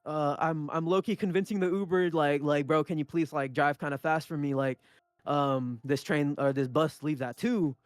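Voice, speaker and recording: Young male podcast co-host, Punjabi first language, loose and sweary storyteller, slightly higher voice, dry close mic. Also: fairly even intonation, moderate pace, dry recording. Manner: rambling, many restarts and swearing. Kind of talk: casual storytelling chat.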